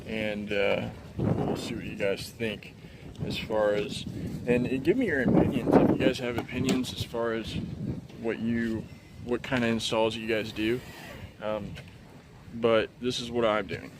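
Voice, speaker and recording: A man's voice: lowish voice, level tone, measured pace, very noisy. A man hosting a casual, unscripted DIY car install walkthrough, explaining informally and in a rambling way. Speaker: DIY car video host. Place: in and around a car.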